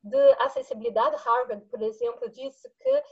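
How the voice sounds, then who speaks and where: Woman, Latin American accent, high-pitched and very expressive, asking a question over a muffled call line. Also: moderate pace. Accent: Latin American Portuguese. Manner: animated, laughs between phrases. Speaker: audience member asking a question. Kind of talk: webinar question.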